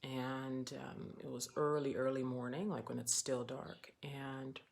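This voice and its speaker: A woman talking slowly and deliberately on a livestream as a spiritual teacher, with some passages dropped to a soft whisper. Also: very deep voice, flat delivery, creaky. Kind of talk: livestream monologue. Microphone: webcam or phone on camera.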